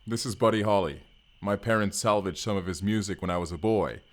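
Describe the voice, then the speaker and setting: An actor playing a hulking, earnest, gentle barbarian man in an audio roleplay, speaking formally and haltingly. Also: lowish voice, natural voice. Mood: delighted. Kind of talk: first-person audio roleplay acting.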